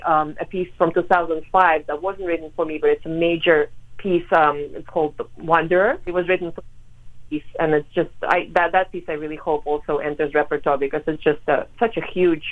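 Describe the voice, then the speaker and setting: Ukrainian-born woman pianist on the phone, lower voice, tinny line, lots of uhs. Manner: earnest, many ums and uhs. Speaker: pianist guest. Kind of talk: phone interview. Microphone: telephone.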